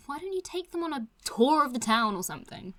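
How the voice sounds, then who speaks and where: Very high, lively, teasing young woman. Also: a little fast. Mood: reluctant. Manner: breezy, teasing, expressive. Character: playful, teasing, stubborn young woman, show host. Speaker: actor in an audio drama. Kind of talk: casual audio drama dialogue as a podcast host.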